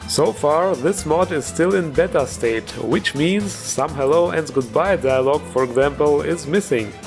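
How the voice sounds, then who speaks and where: A man's voice: on the high side, normal speed, creaky. A man narrating a jokey, scripted gaming review as host, playful and tongue-in-cheek. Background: music.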